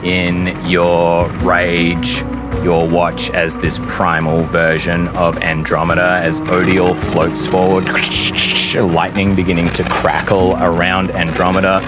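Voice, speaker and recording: Guy running a tabletop game, dramatic storyteller, real slow delivery, music playing behind him. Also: sits low. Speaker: game master.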